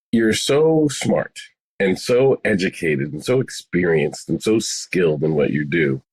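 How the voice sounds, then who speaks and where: A man in his forties with a low, slightly rough voice. He talks as a therapist being interviewed, conversational and emphatic, often repeating phrases to drive them home. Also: low-quality recording. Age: 40s. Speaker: psychotherapist, podcast guest.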